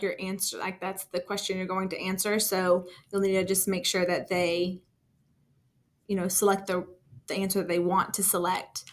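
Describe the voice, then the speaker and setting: A woman talking through a casual screen-share software tutorial as a trainer, in an informal, enthusiastic and encouraging way. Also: medium pitch. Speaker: school district technology trainer.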